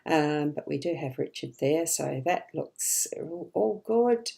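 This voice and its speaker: A woman giving a live daily card reading as a psychic reader, chatty and gently reassuring, her voice a bit rough and hoarse. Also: deep voice, lively intonation, slow.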